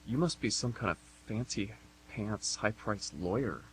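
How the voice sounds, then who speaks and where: A man acts out an unemployed wise guy in a radio comedy. He needles others with lazy sarcasm in a lowish voice, recorded with a slight hum. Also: unhurried, lightly acted, low-quality recording. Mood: mocking. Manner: sarcastic, laid-back, mocking. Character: sardonic, unemployed slacker guy. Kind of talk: amateur radio comedy play acting. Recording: hum and faint background noise.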